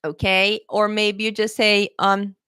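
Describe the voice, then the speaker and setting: A woman English teacher talks through an exam-prep lesson in a relaxed, chatty way, laughing often and checking in with her viewers. Her voice sits at a middle pitch and is recorded close and dry, with no room sound. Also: measured pace, clean tone, no room sound. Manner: chatty and encouraging, keeps checking in with "okay?"; laughs often, playful pet phrases.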